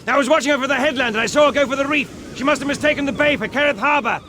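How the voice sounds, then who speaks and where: Master of the house, radio drama: agitated, brisk, ordering people about, strained very high, clear outdoor wind noise. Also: male voice. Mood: urgent. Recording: loud wind and sea noise.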